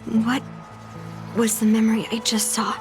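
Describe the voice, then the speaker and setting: Woman playing a young game heroine: highish, very even pitch, subdued and solemn, music behind. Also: measured pace, natural voice. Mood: sombre wonder. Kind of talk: dramatic video game voice acting.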